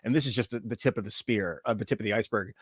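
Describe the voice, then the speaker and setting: Halting male podcast host, very dry sound. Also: lowish voice, husky, no room sound. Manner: halting, searching for words, many fillers. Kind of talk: casual interview questions. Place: private closed room.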